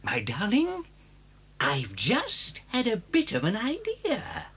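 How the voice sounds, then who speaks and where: An actor voicing a gentle, clever father fox, hopeful yet cautious, in a children's audio story, with a husky voice. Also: high-pitched, huge pitch swings, slow pace, slight background noise, full character voice. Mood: hesitant, hopeful.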